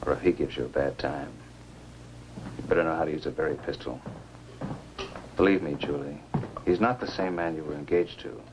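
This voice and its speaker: A man's voice: very deep voice, fairly even intonation, fairly quick, long pauses. An American actor playing a stern, pragmatic man who takes command, in 1950s science fiction film style. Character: stern, pragmatic man leading a monster hunt. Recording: hissy, humming 1950s film soundtrack.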